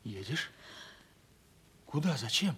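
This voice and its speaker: An actor playing a lovelorn young merchant's son, agitated and folksy, in a radio stage play. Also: male voice, mid-range voice, sing-song, slow pace, pushed and bright, breathy edge, heavily acted. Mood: anxious, forlorn. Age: young adult. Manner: plain-spoken, folksy, agitated. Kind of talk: 1970s Russian stage play radio acting. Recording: reverberant hall, old radio drama.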